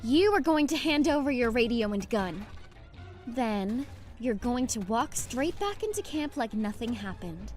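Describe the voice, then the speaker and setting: A woman's voice: on the high side, very animated, darkish tone, soft background music. A woman playing a determined but fragile young survivor leader in a dramatic audio drama. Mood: commanding. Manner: insistent, halting when shaken.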